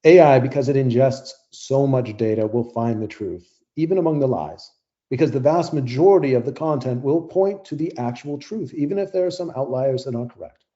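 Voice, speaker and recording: An actor playing a smug, zealous scientist who champions AI in an audio play debate, with a lofty, dismissive manner. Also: male voice, medium pitch, brisk, warm tone, muffled recording, natural voice. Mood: condescending, confident. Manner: lofty, dismissive, self-assured.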